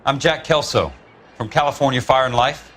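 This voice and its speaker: A man's voice: mid-range voice, moderate pace, a bit bright, slight background noise, lightly acted. A man acting a dogged, tough but polite insurance investigator in a crime game, with an American accent and a terse, wry delivery. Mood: tough, unruffled.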